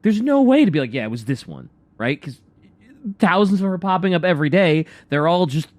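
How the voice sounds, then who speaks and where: A man co-hosting a radio show with casual, reactive banter, rambling and haltingly repeating his phrases. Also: on the high side, very animated, darkish tone, no echo.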